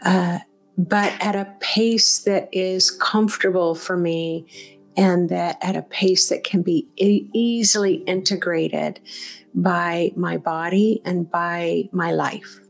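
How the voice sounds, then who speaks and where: A woman leading a guided meditation as a teacher, speaking off the cuff in a gentle, encouraging, conversational way. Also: fairly low pitch, fairly even intonation, takes it slowly, vocal fry, soft background music.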